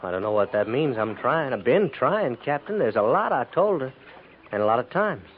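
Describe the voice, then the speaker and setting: Man acting a halting, troubled frontier cavalry trooper. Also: medium pitch, sing-song, close to an everyday voice. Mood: hesitant, uneasy. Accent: rural American West. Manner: halting, folksy, deferential. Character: troubled, plainspoken frontier cavalry trooper, newly remarried widower. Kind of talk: 1950s radio drama acting. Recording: tinny, noisy 1950s radio recording.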